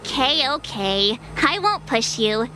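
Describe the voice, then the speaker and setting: A woman's voice: high voice, very animated, slow pace, exaggerated voice. An actress voicing a perky, playful young girl adventurer in a video game, chatting informally and expressively. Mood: cheerful, reassuring. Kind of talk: scripted game character dialogue. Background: music.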